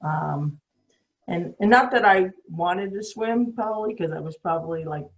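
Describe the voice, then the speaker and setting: A woman's voice: fairly low pitch, normal speed, lo-fi sound. An older woman with a New York accent tells her story casually over a video call. She rambles and fills her sentences with ums and false starts. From New York City. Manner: rambling, many ums and restarts. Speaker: guest swimmer.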